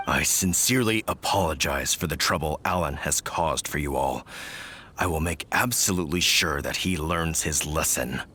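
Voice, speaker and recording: A man playing a gruff but decent village fellow in a video game, folksy and forceful. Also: very deep voice, moderate pace, a little hiss or hum. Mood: apologetic.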